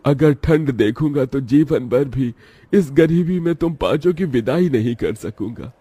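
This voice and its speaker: A man acts out a poor old village father in a cartoon story. He is earnest and humble, with a soft, mellow voice pitched above the narrator's, recorded close and dry. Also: animated, measured pace, slightly rough, dry recording. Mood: resolute. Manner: pleading, earnest.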